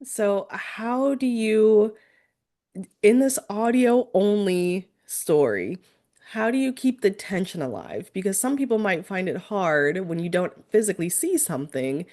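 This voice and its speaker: A woman hosting an interview, asking curious, drawn-out follow-up questions. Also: medium pitch, expressive, mellow. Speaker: interview host.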